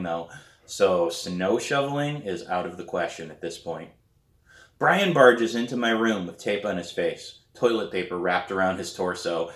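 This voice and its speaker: Low-voiced man reading a story, echoey room. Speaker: author reading own novel aloud. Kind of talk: expressive storybook narration.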